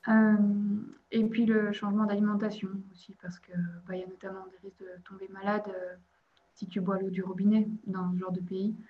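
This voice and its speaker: Guest, woman from Belgium in her twenties, hesitant and chatty, flat delivery, dull lo-fi sound. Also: mid-range voice, normal speed. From Belgium, province of Liège. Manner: hesitant, many "euh" fillers, laughs lightly. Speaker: podcast guest. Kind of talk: casual interview chat.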